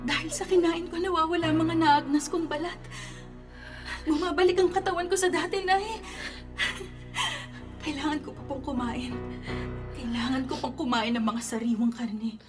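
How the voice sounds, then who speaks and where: A woman voicing a young woman risen from the grave, rotting and hungry for raw meat, pleading softly and eerily calm in a radio drama. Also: high-pitched, moderate pace, pauses often, natural voice. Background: music.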